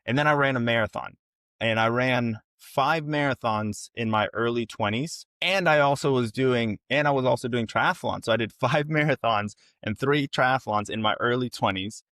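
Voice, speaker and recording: A man's voice: medium pitch, moderate pace, a bit bright, no echo, clean studio sound. A young man from Ecuador and North Carolina talking casually and directly to viewers as he hosts a solo livestream. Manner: informal, addresses viewers directly with frequent check-ins. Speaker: content creator hosting a livestream. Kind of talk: casual livestream monologue to camera.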